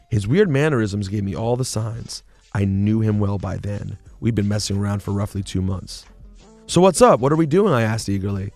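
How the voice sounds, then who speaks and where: Guy doing a sultry overdramatic lady reading her affair memoir, low creaky voice, music behind. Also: dry recording. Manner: theatrical, overdramatic delivery.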